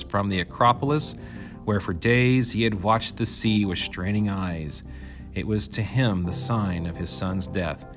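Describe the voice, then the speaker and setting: A man with a fairly low voice narrates an old myth as a storyteller. His delivery is measured and dramatic, leaning on key phrases. Music plays clearly behind him. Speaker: reader, narrator.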